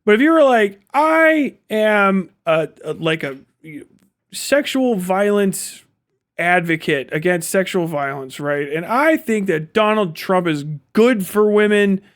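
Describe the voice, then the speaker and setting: A man's voice: sits high, expressive, slow. A man hosting a livestream, reacting off the cuff in a casual, rambling, sweary way. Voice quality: frequent swearing, rambling way of talking. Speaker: livestream host reacting to videos. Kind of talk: casual unscripted commentary.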